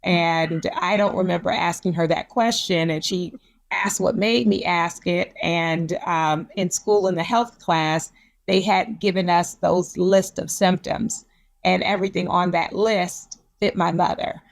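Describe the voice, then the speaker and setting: A woman's voice: fairly low pitch, steady tone, unhurried, gravelly. A woman psychologist sharing personal history in a reflective, storytelling way as an interview guest. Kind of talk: conversational interview.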